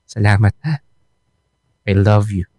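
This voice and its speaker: An actor playing an earnest young Filipino boyfriend in a radio drama, coaxing and reassuring with some exasperation. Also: male voice, lowish voice, takes it slowly, mellow, no room sound. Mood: tender. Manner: pleading, coaxing.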